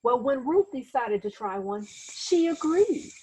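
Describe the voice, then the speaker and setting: A woman reading a children's story aloud like a teacher, expressive and dramatic, with asides to her listeners. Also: sits high, unhurried, slight background noise, low-quality recording. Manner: animated, dramatic, acts out sound words and exclamations.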